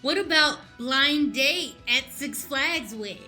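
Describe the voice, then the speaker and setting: A woman playing an excitable, wide-eyed female customer in a comic radio commercial, gushing and echoing others. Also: high voice, slow, full character voice. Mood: excited. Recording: music clearly behind voice.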